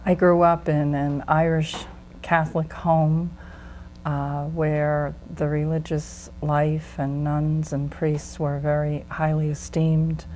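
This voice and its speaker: Low, even-voiced American woman. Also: slow pace, a little hiss or hum, low-quality recording. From United States. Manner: plain, steady recounting with fillers. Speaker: guest, former nun. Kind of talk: interview testimony.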